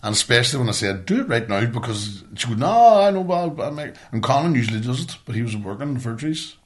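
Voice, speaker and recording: A man's voice: mid-range voice, very animated, fast talker. A middle-aged man with a Northern Irish accent co-hosting a podcast in casual, blunt, sweary chat. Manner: sweary, blunt, ranting about everyday life. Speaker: podcast co-host.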